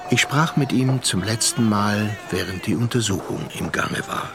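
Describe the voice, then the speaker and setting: An actor playing a worldly, reflective seafaring gentleman who narrates and talks in a measured way in a radio play. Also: fairly low pitch, creaky, crowd noise.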